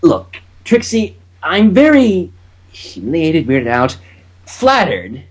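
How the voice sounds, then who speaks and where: A man voicing an earnest, awkward young female unicorn student in a halting way, for a story reading. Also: medium pitch, huge pitch swings, husky, exaggerated voice. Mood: awkward, embarrassed.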